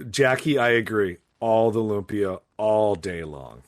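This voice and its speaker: A man's voice: lowish voice, expressive, measured pace, dry recording, studio quality. A man co-hosting a casual podcast, teasing and laughing, with the odd swear. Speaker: podcast co-host.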